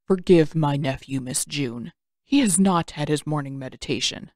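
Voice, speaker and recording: Lady doing a calm old uncle for a story, lower voice, formal and patient, dry sound. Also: dry recording, lightly acted. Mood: courteous. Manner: slow, measured, formal. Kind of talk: audiobook character voice.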